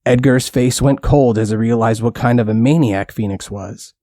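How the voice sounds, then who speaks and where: A man's voice: mid-range voice, moderate pace, mellow, no echo. A man with a mid-range voice reads a children's bedtime story. His telling is plain and simple, close on the mic with a dry sound. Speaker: storyteller, narrator.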